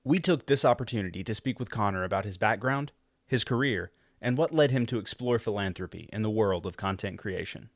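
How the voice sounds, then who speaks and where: Low-voiced man reading a podcast intro. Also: fairly quick, no room sound, studio quality. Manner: even, formal read. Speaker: podcast host. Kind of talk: scripted intro read.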